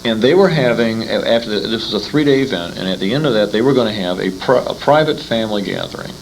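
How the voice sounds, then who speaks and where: A man's voice: lowish voice, expressive, quick pace, gravelly. A man reminiscing casually in conversation, often repeating words as he searches for the right phrase. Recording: slightly noisy amateur 1980s convention recording.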